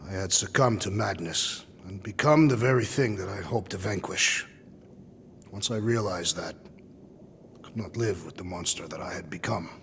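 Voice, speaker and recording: An actor playing a solemn, proud alien warrior in a video game cutscene, speaking formally and gravely. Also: male voice, low voice, moderate pace, fairly bright tone, big-room sound, a little hiss or hum. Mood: resigned. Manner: formal, grave, literal-minded diction.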